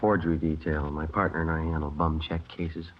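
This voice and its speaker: An American actor playing a weary, polite police detective sergeant in a terse, deadpan 1950s radio drama style. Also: male voice, extremely low pitch, a little fast. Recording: muffled, noisy old radio recording.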